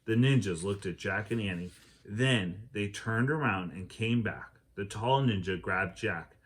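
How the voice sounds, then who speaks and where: A man with a fairly low voice reads a children's adventure book aloud. He tells it expressively and acts out the characters' lines as he goes. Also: a little slow, slightly rough. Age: adult. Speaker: teacher reading aloud.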